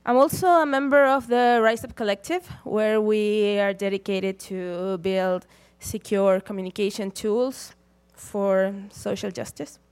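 A young woman from Chile, giving a prepared conference talk from a stage in a measured, deliberate way. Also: medium pitch, fairly even intonation, clear, resonant tone. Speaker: conference speaker.

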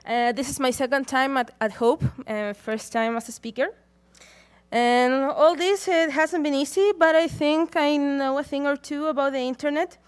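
A young woman from Chile, giving a prepared conference talk from a stage in a measured, deliberate way. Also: high voice, fairly even intonation. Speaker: conference speaker.

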